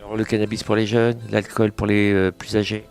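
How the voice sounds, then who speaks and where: A man's voice: lowish voice, little pitch movement, fairly quick, a little mellow, clear, resonant tone. A man hosting a conversational radio interview, summing things up in short phrases, recorded in a small kitchen. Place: small kitchen of a community family centre.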